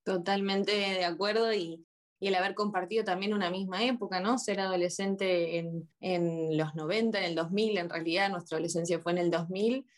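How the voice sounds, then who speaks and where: A woman from Buenos Aires in her thirties, speaking with a Rioplatense accent, joins the talk as a psychologist guest. Her voice is somewhat low, and she agrees readily and talks in a friendly, conversational way. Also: steady tone, moderate pace. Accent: Rioplatense Spanish. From Buenos Aires, Argentina. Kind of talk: casual interview chat.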